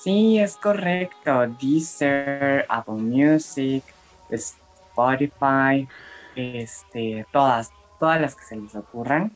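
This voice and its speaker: A young adult singer from Mexico City with a Mexican accent, answering questions in a relaxed, hesitant way with frequent fillers. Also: medium pitch, faint music behind, low-quality recording. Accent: Mexican Spanish. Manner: slow and relaxed, laughs often, hesitant fillers. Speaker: singer being interviewed.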